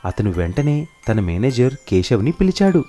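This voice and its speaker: A man narrates a scripted story for children. His voice is mellow, with lively, varied intonation. Faint music sits behind him. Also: medium pitch, moderate pace.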